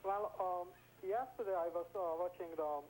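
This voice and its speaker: A man from Hungary with a Hungarian accent, speaking earnestly and haltingly as a caller on a telephone line. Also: sits high. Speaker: phone-in caller. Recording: telephone call on noisy old broadcast tape.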